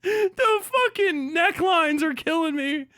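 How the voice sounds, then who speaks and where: A young man hosting a live stream, tearing into people with crude, mocking jokes and frequent laughter. His voice sits very high and swings widely in pitch. The sound is bright and dry, with no room echo. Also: expressive, takes it slowly. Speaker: livestream host. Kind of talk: profane live reaction commentary.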